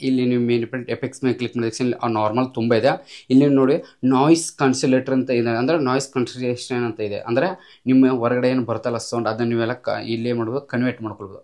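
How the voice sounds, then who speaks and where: A man with a mid-pitched voice talks very fast through a casual app tutorial. He instructs step by step, repeating himself and dropping in English tech words. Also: a little mellow.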